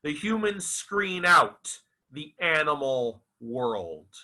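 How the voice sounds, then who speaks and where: A man reciting his own literary fiction as its author, in a theatrical, deliberate and emphatic manner. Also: fairly high pitch, sing-song, sharp tone. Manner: dramatic, deliberate, emphatic with repeated phrases. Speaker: author reading own novel aloud.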